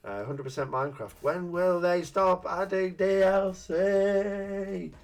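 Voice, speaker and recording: A man's voice: high-pitched, slow. A man hosting a casual gaming review chat, cheeky and jokey, often laughing at his own innuendo. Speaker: gaming channel host.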